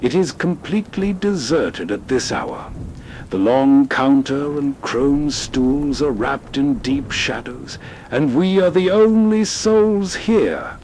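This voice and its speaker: A man's voice: mid-range voice, lively intonation, slow pace, a little mellow, a little hiss or hum. A man hosting with atmospheric scripted narration, soothing and evocative, guiding the listener through a scene. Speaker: host.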